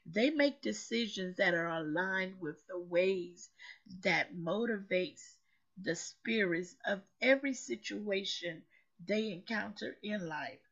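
A woman with a hoarse voice, still getting it back, preaches in a slow, deliberate way. She leans on her points and repeats them for weight. The recording sounds muffled and lo-fi. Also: medium pitch, animated, fairly bright tone. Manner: preachy, emphatic, repeats phrases for effect. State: hoarse, recovering lost voice. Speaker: motivational show host. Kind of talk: slow motivational talk.

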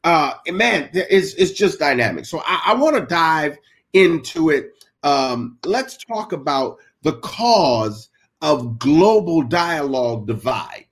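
A man's voice: on the high side, animated, takes it slowly, a bit bright. A man hosting a faith-based interview, talking in an emphatic, preacherly way. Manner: emphatic, preacherly, frequent affirmations. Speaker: host.

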